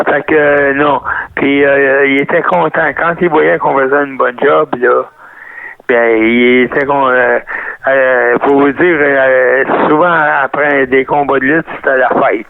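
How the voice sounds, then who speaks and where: An older man with a Québécois French accent, a guest reminiscing in an interview, rambling and halting with many restarts, heard over a phone line. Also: medium pitch, fairly even intonation, no room sound. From Canada. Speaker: guest, retired wrestler. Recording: tinny phone-line sound, dry.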